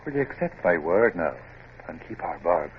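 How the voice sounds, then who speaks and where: An actor playing a proud condemned Irish rebel, speaking formally and earnestly in a radio drama. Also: male voice, deep voice, sing-song, normal speed. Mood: earnest, solemn. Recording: muffled, noisy vintage radio drama recording.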